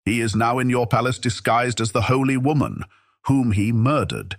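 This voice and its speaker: An indignant genie, acted by a man in a fairy tale audiobook. He declaims with commanding anger in a mid-pitched male voice, recorded dry and close. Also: animated, normal speed, dry recording. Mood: grave warning.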